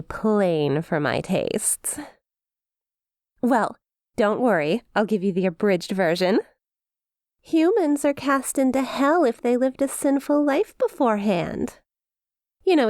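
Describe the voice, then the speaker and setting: A woman's voice: medium pitch, darkish tone, clean tone, no echo, clean studio sound, close to an everyday voice. A woman playing a perky, smug demon imp in an ASMR roleplay, teasing with playful mock sympathy and a possessive streak. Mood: mocking, breezy. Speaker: audio roleplay performer.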